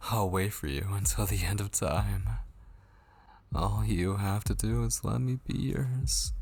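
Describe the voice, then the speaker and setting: A man playing a possessive, obsessed kidnapper in an intimate ASMR roleplay, coaxing with menacing tenderness in a breathy voice that drifts toward whispers. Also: on the low side, a little mellow, full character voice. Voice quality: breathy, husky. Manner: slow, coaxing, menacingly tender, lingering on phrases. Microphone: close studio microphone.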